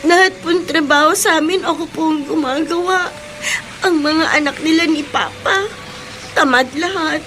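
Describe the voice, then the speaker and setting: A woman's voice: high-pitched, moderate pace, sharp tone, slight background noise. A woman with a Filipino accent playing an abused young stepdaughter, plaintive and hurt, in a radio drama. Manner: plaintive, polite, submissive.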